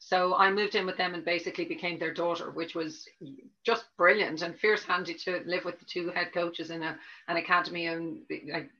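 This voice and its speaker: Bright-voiced Dublin woman, lo-fi. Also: lowish voice, steady tone, a little fast. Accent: Dublin Irish. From Ireland. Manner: chatty, rambling, with frequent restarts and fillers. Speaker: tennis coach. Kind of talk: conversational interview answers. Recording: dull lo-fi audio.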